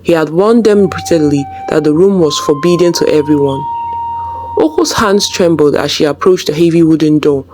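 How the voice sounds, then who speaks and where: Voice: mid-range voice, moderate pace, darkish tone, a little hiss or hum. A storyteller reading a scripted folktale as its narrator.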